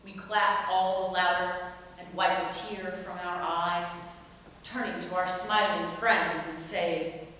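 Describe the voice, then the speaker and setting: A woman's voice: lowish voice, fairly even intonation, slow pace, pushed and bright. A woman performer reading aloud and speaking to an audience at a live showcase. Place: reverberant indoor performance space. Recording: echoey hall, far mic, faint noise.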